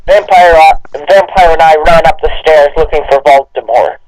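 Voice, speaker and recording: A man's voice: on the high side, normal speed, darkish tone, dry recording, poor audio. A man reading aloud as a co-host, casually, with joking commentary along the way.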